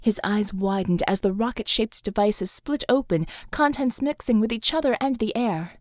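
A woman narrating an action novel as an audiobook, with expressive, dramatic storytelling delivery. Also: medium pitch, normal speed, dry recording, studio quality. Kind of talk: dramatic scripted fiction narration.

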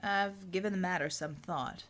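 A woman's voice: moderate pace, fairly bright tone, natural voice. A woman playing a guarded, plain-spoken American man in an audiobook story, laconic and hesitant. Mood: tentative. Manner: laconic, hesitant, low-key.

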